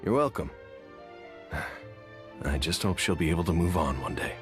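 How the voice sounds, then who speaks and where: A plain-spoken, sympathetic townsman from a video game. His voice sits very low but moves expressively as he gossips wistfully, over faint music. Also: expressive, normal speed. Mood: pitying. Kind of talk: video game character acting. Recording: music behind the voice.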